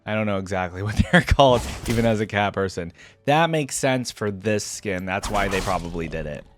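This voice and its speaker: A man's voice: fairly low pitch, animated, brisk, music in the background. A man giving casual, off-the-cuff game reaction commentary, rambling as he thinks aloud. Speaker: reaction video host.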